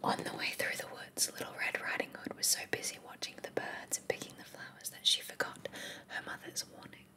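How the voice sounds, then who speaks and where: A woman whispering softly as she reads a bedtime storybook aloud in an ASMR style. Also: brisk. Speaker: reader.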